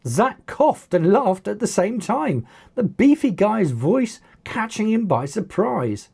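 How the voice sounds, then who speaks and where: This is a storyteller reading an action tale in a dramatic, animated way. The pitch moves around a lot while the pace stays slow and measured. The tone is mellow, with a gravelly creak in it. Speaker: author narrating own book. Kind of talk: audiobook narration of children's action story.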